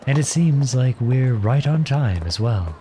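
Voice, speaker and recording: A man playing a charming, gentle, teasing outlawed prince in an ASMR roleplay, courtly, playful and tender in his way of talking. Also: medium pitch, expressive, a little slow, noisy background, close to an everyday voice. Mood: warm, attentive. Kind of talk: ASMR roleplay acting addressed to the listener.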